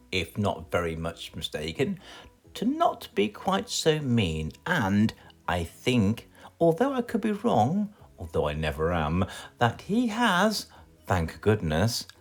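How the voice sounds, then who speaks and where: A man plays a self-assured British wizard from a children's book. He muses pompously and slowly, his pitch swooping dramatically. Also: exaggerated voice. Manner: pompous, deliberate, rambling.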